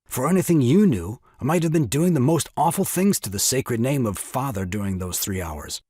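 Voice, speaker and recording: Man acting a husky, blustering young husband. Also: medium pitch, animated, moderate pace, a little mellow, no echo, studio quality. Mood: indignant. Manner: blustering, exclamatory. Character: flustered, blustering young new father, indignant and bewildered. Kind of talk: comic stage farce acting.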